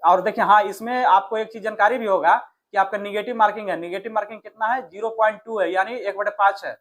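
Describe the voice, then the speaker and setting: A man's voice: high voice, fairly even intonation, fast, fairly bright tone. A man with a Bihar accent coaching informally for an exam, addressing listeners familiarly and often answering his own questions. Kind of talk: informal exam strategy lesson.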